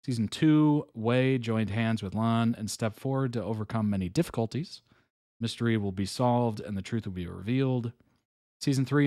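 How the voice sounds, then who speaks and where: Relaxed low-voiced male stream host. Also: no echo, clean studio sound. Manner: relaxed, chatty, frequent ums and soft chuckles. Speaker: host reacting to videos live. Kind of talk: casual live reaction commentary, reading chat aloud.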